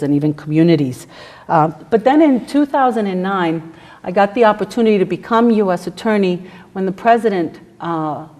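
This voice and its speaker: A middle-aged woman whose first language is Spanish, giving a prepared speech to a campus audience in a measured, formal way with frequent "uh" fillers. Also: on the low side, darkish tone. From New York City. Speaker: guest speaker, federal prosecutor.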